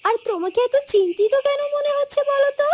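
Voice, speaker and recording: A woman voicing a gentle, earnest young village maiden in a fairy-tale cartoon, speaking politely and deferentially. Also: extremely high pitch, lively intonation, quick pace, slight background noise. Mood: concerned, caring. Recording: music bed behind the voice.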